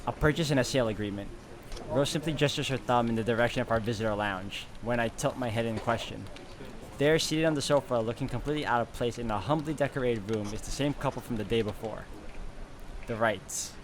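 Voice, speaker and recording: A man's voice: medium pitch, fairly quick, background noise. A man hosting a game playthrough, reading visual novel narration aloud.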